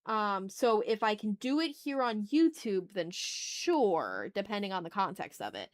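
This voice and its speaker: A woman's voice: medium pitch, big pitch swings, unhurried. A woman chatting casually on an art livestream, thinking aloud with self-deprecating remarks and some swearing. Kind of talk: casual livestream chat while drawing.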